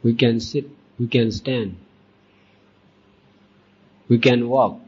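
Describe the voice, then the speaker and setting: A man teaching as a Buddhist monk, leading a guided meditation in deliberate short phrases with long pauses. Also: mid-range voice, steady tone, darkish tone, husky. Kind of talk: guided meditation dhamma talk. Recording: dull, muffled lo-fi recording.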